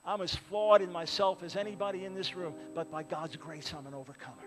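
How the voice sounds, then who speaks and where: A man in his sixties preaching a sermon, swinging from loud appeals to hushed, pleading lines. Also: sits high, fairly even intonation, a little fast, long reverb tail, over music. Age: 60s. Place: large church with a balcony.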